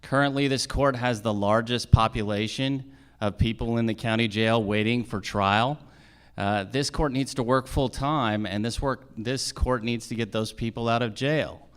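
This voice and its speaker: A man's voice: medium pitch, fairly even intonation, moderate pace, fairly bright tone, clear, resonant tone. A man answering questions as a judicial candidate at a forum, explaining his points in a plain-spoken way.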